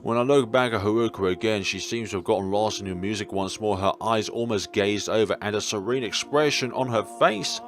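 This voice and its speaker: A man reading a visual novel aloud in an expressive way, with casual, rambling and playful asides. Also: lowish voice, a little fast, few pauses. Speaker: video game player and narrator. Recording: music playing behind the voice. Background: game soundtrack music.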